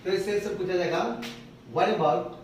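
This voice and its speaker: Echoey Hindi-accented English teacher. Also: male voice, high voice, fairly even intonation, a little mellow, a little hiss or hum. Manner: explains slowly, repeats phrases word by word. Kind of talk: classroom grammar lesson. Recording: echoey room, voice from far off.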